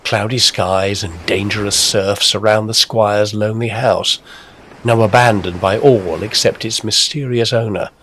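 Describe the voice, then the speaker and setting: A man's voice: fairly low pitch, steady tone, measured pace, a bit bright, slight background noise. A man narrating a scripted, spooky Victorian tale of the coast. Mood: storytelling. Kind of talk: scripted ghost-story narration.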